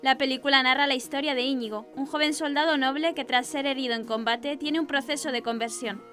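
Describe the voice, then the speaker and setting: Woman newsreader with a high voice. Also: fairly even intonation, a little fast, fairly bright tone, soft background music. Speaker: news presenter. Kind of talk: scripted news narration.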